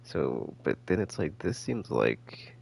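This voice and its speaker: A man giving casual, rambling game commentary as a streamer, halting and slangy as he thinks out loud. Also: deep voice, sing-song, vocal fry, slight background noise. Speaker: gaming streamer.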